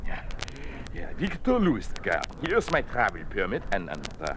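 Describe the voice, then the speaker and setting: An actor playing an obsequious head waiter, halting and ingratiating, in 1950s radio drama style. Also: male voice, fairly low pitch, huge pitch swings. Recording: noisy old radio recording.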